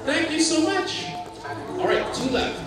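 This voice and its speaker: A man hosting a game show on a cruise ship stage, animated and jokey as he calls out the amounts. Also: extremely high pitch, measured pace, over a noisy crowd. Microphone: handheld mic, far camera. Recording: echoey hall from far off, loud music.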